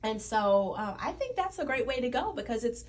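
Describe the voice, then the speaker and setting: A woman's voice: fairly high pitch, very animated, moderate pace, a little mellow, recorded from a distance, a little hiss or hum. A woman talking casually and enthusiastically as a guest in a radio interview, chatty about her work. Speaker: guest, playwright and stage producer. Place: radio studio.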